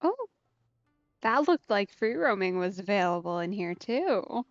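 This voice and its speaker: A woman's voice: mid-range voice, big pitch swings, normal speed, dry recording. A woman giving casual live commentary as she plays a game, close on the mic with slightly muffled sound. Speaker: game streamer. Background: soft game music.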